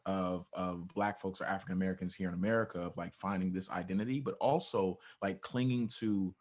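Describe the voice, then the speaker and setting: A man's voice: low-pitched, steady tone, fairly quick, darkish tone. A man answering earnestly and casually in an interview, conversational and checking in as he reasons aloud.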